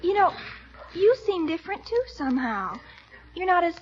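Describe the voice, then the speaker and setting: High, earnest girl-next-door niece, hissy old radio. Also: very animated, normal speed. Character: young woman, teenage niece, earnest. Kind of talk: 1940s radio acting. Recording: dull old radio broadcast with loud hiss.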